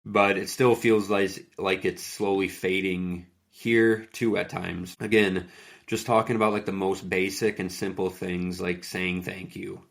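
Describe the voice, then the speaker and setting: A young man talks through a solo podcast episode in a casual, earnest way, leaning on "like" as he goes. His voice is deep and gravelly, with little rise and fall. He is still getting over a cold. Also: flat delivery, a little slow. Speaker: podcast host and fitness coach.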